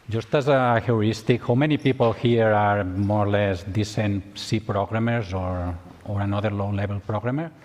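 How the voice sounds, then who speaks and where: A man's voice: on the low side, a little mellow. Spanish-accented man in an echoing hall. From Spain. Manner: hesitant, many restarts and repeated words. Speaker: tutorial presenter. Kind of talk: conference tutorial. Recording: long hall reverb.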